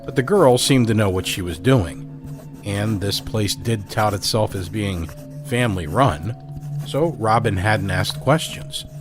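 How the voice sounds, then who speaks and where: A man with a fairly low voice reads a story aloud in a measured, deliberate way. Faint music sits softly underneath him. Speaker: host and narrator. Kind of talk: scripted audio drama narration.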